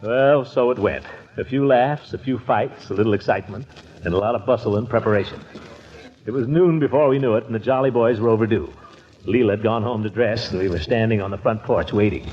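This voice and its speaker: A man's voice: lowish voice, a little fast, close to an everyday voice. An actor playing a folksy, sentimental middle-aged man telling a story in 1940s radio comedy. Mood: fond storytelling. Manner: expansive, swooping storytelling. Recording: dull 1940s radio recording with music.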